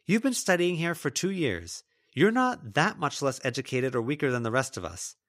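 A man voicing an intense, accusing teenage schoolgirl in a forceful, demanding audiobook performance. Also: fairly high pitch, sing-song, quick pace, voice pushed up. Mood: angry, accusing.

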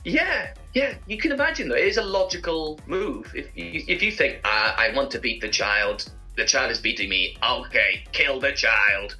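A man's voice: mid-range voice, expressive, normal speed, pushed and bright, husky, soft background music. A man co-hosting a chat show, telling rambling, humorous anecdotes in a casual style. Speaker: co-host presenting segments.

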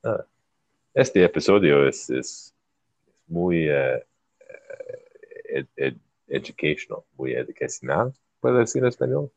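Male host, native English speaker, learner's Spanish: very deep, gravelly, slow, hesitant, lots of fillers. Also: very animated, darkish tone, no echo. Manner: halting, searching for words, many fillers. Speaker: podcast host. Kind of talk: casual chat, learner's Spanish.

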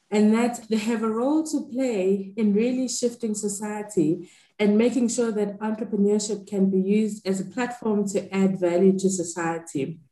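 A woman who leads a foundation answers in a measured, earnest and formal way. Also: medium pitch, mellow. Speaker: foundation leader. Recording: roomy echo.

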